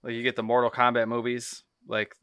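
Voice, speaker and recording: A man's voice: medium pitch, completely flat delivery, fairly quick, a bit bright, clear, resonant tone, no echo, studio quality. A man co-hosting a podcast, explaining his views in casual conversation. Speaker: podcast co-host. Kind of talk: casual chat, explanatory discussion.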